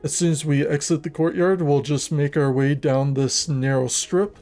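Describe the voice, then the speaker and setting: A man narrating a scripted video game walkthrough in a measured, methodical, instructional manner. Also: a little high, steady tone, darkish tone, soft background music.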